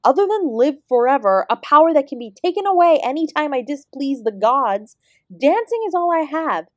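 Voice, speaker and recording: High-voiced sarcastic immortal dancer played by a woman. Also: expressive, moderate pace, no echo. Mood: indignant. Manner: sardonic, sweary, emphatic. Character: ancient female apsara dance guru, sarcastic, exasperated, fiercely independent. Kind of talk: scripted audio roleplay addressed to the listener.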